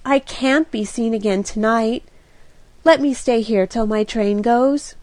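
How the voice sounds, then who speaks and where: A woman voicing a flustered, dramatic young woman who cries out in high, breathless exclamations. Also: a little slow, darkish tone, dry recording, lightly acted. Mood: horrified.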